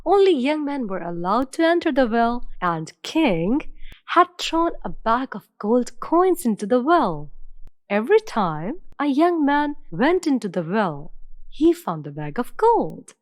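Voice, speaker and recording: A woman narrating an animated fairy tale in an expressive, storybook way. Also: fairly high pitch, a little slow, dry recording.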